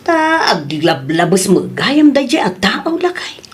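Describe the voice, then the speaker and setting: Actress as slow, chiding older Ilocana mother. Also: mid-range voice, big pitch swings. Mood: scolding. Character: older Ilocana mother, scolding, meddling, concerned for family. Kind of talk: Ilocano audio drama acting.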